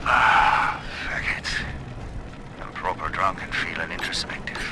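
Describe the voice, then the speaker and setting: A man's voice: sits low, flat delivery, measured pace, vocal fry, very noisy. A man playing a drunken, bitter, foul-mouthed brothel-keeper with a British accent, ranting sardonically in a video game. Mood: drunk, brooding. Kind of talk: video game audio diary monologue.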